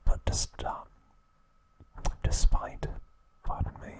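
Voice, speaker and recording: Male ASMR narrator, unhurried whisper, soft and steady, relaxed asides. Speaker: ASMR creator.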